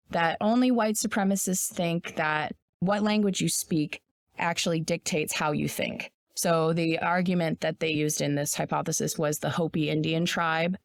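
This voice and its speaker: A woman's voice: on the low side, no echo, studio quality. A woman co-hosting a podcast, explaining ideas in a blunt, opinionated way and swearing now and then. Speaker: podcast co-host. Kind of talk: casual podcast discussion.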